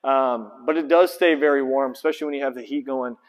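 A man's voice: medium pitch, fairly quick. Man talking in an echoey empty gym. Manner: casual, filler-heavy, explaining and pointing things out. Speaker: basketball trainer. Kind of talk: casual walkthrough vlog. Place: large empty indoor basketball gym. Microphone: clip-on lapel mic. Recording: long reverb of a big empty gym.

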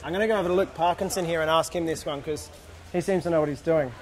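A man's voice: a little high, fast, slight background noise. Cheerful young man hosting a fan Q&A. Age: young adult. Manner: cheerful, joking, reads questions aloud. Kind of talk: casual on-camera chat. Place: outdoors at a boat tent by a harbour. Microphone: handheld camera close up.

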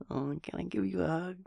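Man playing creaky-voiced, stammering, childlike male daemon. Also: high-pitched, a little mellow, dry recording, studio quality, heavily acted. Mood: excited, affectionate. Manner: stammering, repeating words, breaking into giggles. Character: childlike, sweet, scatterbrained young male empathy daemon friend. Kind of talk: intimate audio roleplay monologue.

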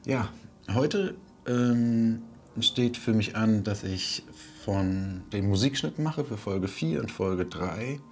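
A man in his forties casually talking through a video diary, unhurried and thinking aloud with pauses, on a clip-on mic. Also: lowish voice, a little mellow, soft background music. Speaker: vlogger, audio drama maker. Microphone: wireless clip-on lavalier.

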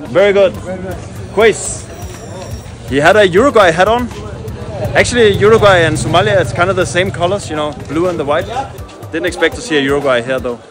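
A man's voice: high-pitched, fairly quick, long pauses. A man in his thirties vlogging as he walks through a busy outdoor market, chatty and upbeat, greeting people as he passes. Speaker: travel vlogger. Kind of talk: walking vlog to camera. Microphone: handheld action camera at arm's length. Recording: music under voice. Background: music bed.

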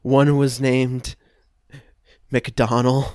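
A young man improvises comedy on a podcast in a slow, halting way, leaving gaps as he invents. His voice is recorded very dry, with little room around it. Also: mid-range voice, little pitch movement, darkish tone, dry recording. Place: room at home, indoors.

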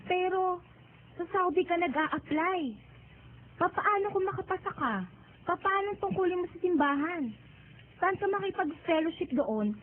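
A woman's voice: very high-pitched, lively intonation, normal speed, pauses often. An actress playing a concerned young Filipino woman in a radio drama, incredulous and questioning a friend. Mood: incredulous, worried. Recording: tinny phone-like narrow band.

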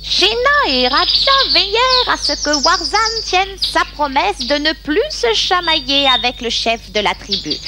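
A woman's voice: high voice, heavy background noise. A woman playing the storyteller of a children's jungle cartoon, in scripted storybook narration. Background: background music.

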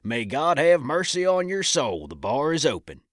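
A man's voice: fairly high pitch, sing-song, moderate pace, no room sound, studio quality. A man playing a cantankerous old Wild West saloon judge in an audio drama, pronouncing things in a folksy, pompous way. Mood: gruffly declaring. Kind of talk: audio drama acting in a history podcast.

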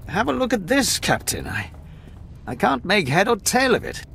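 A man's voice: high voice, huge pitch swings, normal speed, creaky, a bit bright, slight background noise, full character voice. A man playing a harried, affable army major in a video game, musing and eager to help in a conversational way. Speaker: military officer.

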